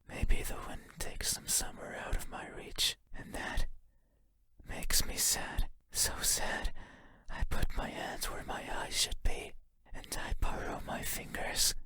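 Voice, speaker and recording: A man voicing a lonely, faceless horror game entity, menacing and mournful, in a breathy whisper with a slow, halting, intimate delivery. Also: sharp tone. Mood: sorrowful, desperate. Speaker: voice actor.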